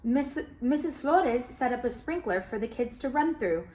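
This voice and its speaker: A woman reading a children's picture book aloud, expressive and animated, calling listeners friends. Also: on the high side, moderate pace. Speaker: children's storyteller. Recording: roomy echo.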